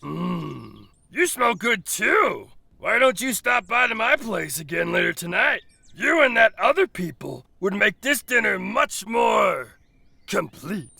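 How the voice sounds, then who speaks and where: A woman's voice: mid-range voice, big pitch swings, takes it slowly, vocal fry, pushed and bright, slightly rough, no echo, exaggerated voice. A woman voicing a ravenous, gleefully menacing animal villager in a hammy, gloating cartoon parody dub. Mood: creepy, hungry.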